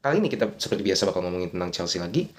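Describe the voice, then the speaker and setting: Young male football vlogger, low voice, congested from a cold, loose casual delivery. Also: big pitch swings, rapid-fire. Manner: casual slangy talk mixed with English words, thinking aloud. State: stuffy nose from a cold. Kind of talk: casual solo commentary.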